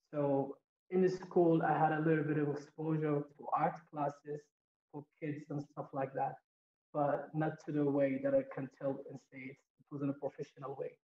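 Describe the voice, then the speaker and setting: A man's voice: on the high side, slight background noise. Hesitant man on a dull roomy call. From Somaliland, grew up partly in Saudi Arabia. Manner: hesitant, many fillers and restarts. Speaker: visual artist and photographer. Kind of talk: presenting his work on a video call. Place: small room indoors. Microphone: laptop video call.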